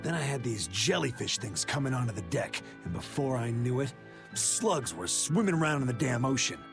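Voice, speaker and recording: An actor playing a rough, spooked seafarer in a video game, telling cautionary tales in a folksy, emphatic way. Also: male voice, mid-range voice, big pitch swings, moderate pace, over music. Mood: wary.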